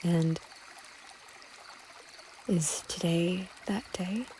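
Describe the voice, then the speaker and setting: A woman's voice: on the low side, steady tone, extremely slow, warm tone. A woman playing a wry, guarded young cyborg in love, teasing and slightly awkward, in a romantic audio drama. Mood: loving, amused. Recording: clear noise with trickling water behind. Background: running creek water.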